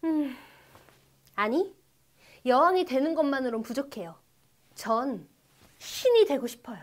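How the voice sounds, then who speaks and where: A woman's voice: sits high, expressive, measured pace, pitched below the performer's own voice. A woman playing a fisherman's greedy, demanding and haughty wife in a children's storybook.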